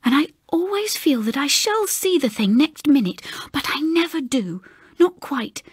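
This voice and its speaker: A woman's voice: high voice, sing-song, unhurried, dry recording, clean studio sound, voice pushed up. A woman voicing a gloomy, uneasy English husband in a ghost story, brooding and hesitant. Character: gloomy, uneasy husband haunted by something unseen.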